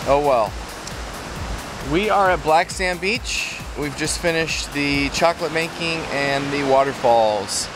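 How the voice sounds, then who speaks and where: A man's voice: a little high, slow pace. A man in his thirties talking casually to a handheld camera outdoors as a travel vlog host. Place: outdoors at beach, street and trail. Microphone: handheld camera at arm's length. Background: music.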